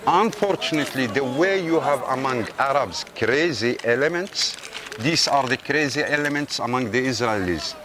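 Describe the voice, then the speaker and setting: A man with an Arabic accent, a tour guide who speaks Arabic natively, walking visitors through a busy outdoor market and insistently pointing things out. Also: medium pitch. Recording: loud street crowd behind.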